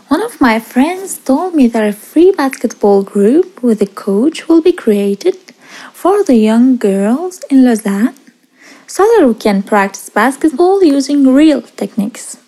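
Slow, earnest woman in her twenties. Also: high voice, darkish tone, poor audio. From Iraq. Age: 20s. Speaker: podcast guest. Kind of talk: prepared personal story, read aloud.

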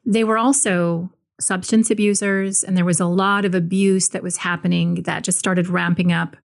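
A woman from Hawaii, a spiritual teacher guesting on a podcast, telling her life story in a calm, reflective, earnest way. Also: fairly low pitch, normal speed, gravelly. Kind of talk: interview storytelling.

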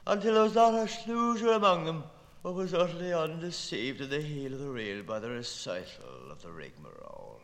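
A man's voice: medium pitch, sharp tone. A man giving a theatrical, declamatory and playful reading of literary prose, relishing the wordplay. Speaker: reader. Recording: long hall-like reverb.

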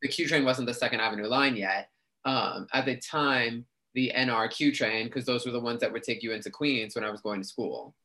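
A man's voice: medium pitch, brisk, sharp tone. Chatty young New man. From New York City. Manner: talkative, chatty with frequent fillers. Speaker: guest. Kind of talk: casual interview chat.

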